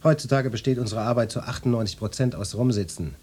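An actor playing a cocky, macho police detective in a radio play, brusque and swaggering, with a flirtatious streak. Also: male voice, mid-range voice, fast talker, darkish tone, breathy edge, natural voice. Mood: curt, self-important. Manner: brusque, swaggering, mock-official.

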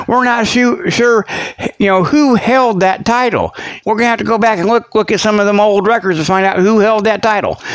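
High-pitched, folksy man rambling comically. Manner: folksy, colloquial, repetitive and digressive. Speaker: show host. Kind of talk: rambling comic mock announcements.

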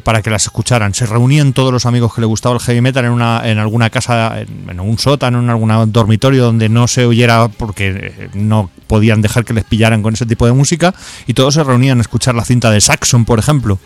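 A man explaining and telling anecdotes in a measured, conversational way as an interview guest. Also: medium pitch, clean tone. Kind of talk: conversational storytelling.

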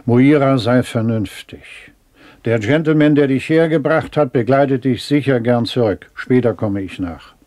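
A man's voice: mid-range voice, moderate pace, a little mellow, lightly acted. An actor playing a firm, courteous officer and father in a German radio play, speaking formally.

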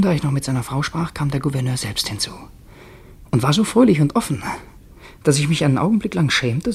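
An actor playing a revolutionary assassin who poses as a secretary, speaking in a formal, measured, reflective way in a radio play. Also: male voice, mid-range voice, a little mellow, slightly rough. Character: male revolutionary assassin posing as devoted secretary, brooding diarist. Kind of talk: German radio play acting with confessional narration.

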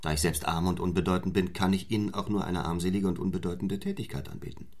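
An actor playing a stern, ambitious young clergyman in a German radio play, speaking in a measured, formal and probing manner. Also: low voice, fairly even intonation, darkish tone. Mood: earnest, intense.

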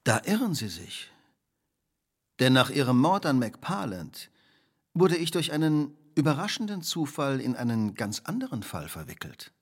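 An actor playing a shrewd, relentless detective in a radio drama, speaking precisely, formally and theatrically. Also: male voice, mid-range voice, sing-song, a little slow, husky, exaggerated voice. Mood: insistent, triumphant. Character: shrewd, relentless male detective laying out a crime.

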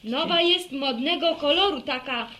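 An actress playing a lively, cocksure young village daughter in a radio serial, speaking with emphatic, explaining delivery. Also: female voice, high-pitched, normal speed, slight background noise. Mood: eager reading. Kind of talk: Polish radio serial acting, rural family drama.

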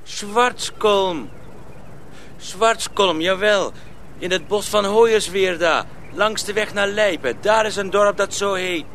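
Village farmer in a radio play, high pinched voice, wary, bit of noise behind. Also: male voice, takes it slowly, fairly bright tone, exaggerated voice. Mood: helpful. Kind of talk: radio drama acting.

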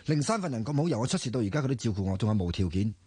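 An actor playing a stubborn, self-doubting young decorator who talks gruffly in Hong Kong Cantonese. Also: male voice, mid-range voice, big pitch swings, rapid-fire, mellow, dry recording. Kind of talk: radio drama acting.

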